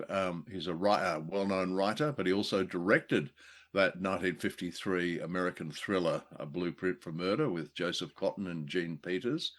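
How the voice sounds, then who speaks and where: A man co-hosting a podcast in casual, unscripted discussion, with halting, hesitant phrasing. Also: deep voice. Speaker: podcast co-host.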